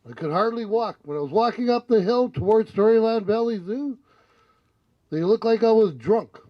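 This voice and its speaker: A man's voice: sits high, dry recording, muffled recording. An older man, a council candidate, telling a rambling, earnest spiritual story into a handheld mic in an outdoor tent. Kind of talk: candidate forum speech. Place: outdoor tent at a community forum. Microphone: handheld mic on a stand.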